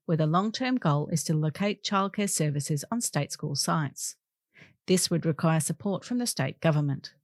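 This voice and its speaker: A woman's voice: lowish voice, dry recording. Dry-sounding woman reading news. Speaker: volunteer newspaper reader. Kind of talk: scripted news article narration.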